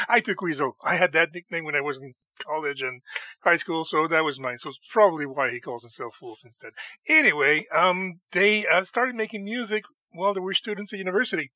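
A man hosting a music show in a casual solo monologue, enthusiastic and chatty, his voice slightly hoarse from talking. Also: on the high side, bright, forceful tone, dry recording. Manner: enthusiastic and chatty, with frequent laughs.

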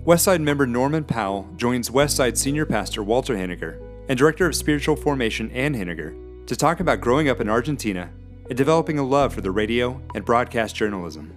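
A man's voice: mid-range voice, lively intonation, fast talker, soft background music. A man reading a scripted introduction for a church podcast. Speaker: podcast announcer.

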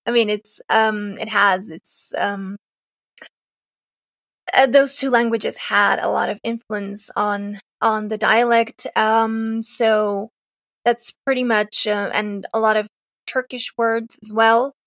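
A woman's voice: medium pitch, fairly even intonation, slow pace, a bit bright, no echo. A young woman from Serbia, a native Serbian speaker, chatting as a podcast guest, hesitant and often laughing. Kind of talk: casual interview chat.

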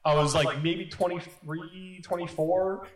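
Man, early thirties, slightly high voice, casual podcast co-host, rambling, sweary, echoey room. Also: steady tone, unhurried. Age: early 30s. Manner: rambling, profane. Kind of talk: casual chat, telling anecdotes.

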